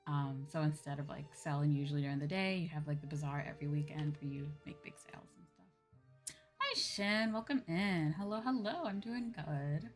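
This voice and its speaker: A woman's voice: deep voice, moderate pace. A woman hosting a livestream, chatting casually in an informal, rambling way. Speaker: streamer, VTuber host. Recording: music playing behind the voice. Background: music.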